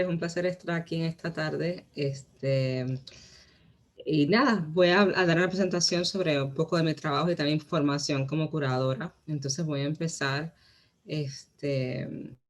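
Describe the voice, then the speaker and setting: A woman from Rico, giving a lecture as a guest curator, often pausing with fillers and restarting her sentences. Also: low voice. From Puerto Rico. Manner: hesitant, many fillers and restarts. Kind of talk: lecture presentation.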